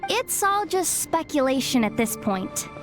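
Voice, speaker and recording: A blunt, level-headed young woman with a high voice. She is matter-of-fact and cautioning, in video game style with faint music behind. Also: big pitch swings, a little slow. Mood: dry, insistent. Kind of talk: video game cutscene acting.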